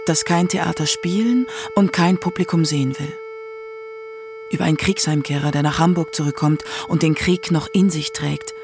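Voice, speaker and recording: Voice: medium pitch, gravelly. A narrator reading scripted documentary narration for a radio feature. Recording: music behind the voice. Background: instrumental music.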